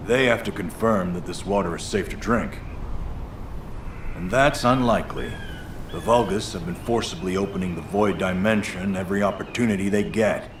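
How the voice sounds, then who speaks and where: An actor playing an earnest, concerned field officer in a video game, explaining things politely. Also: male voice, low-pitched, lightly acted. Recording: roomy echo, noise behind.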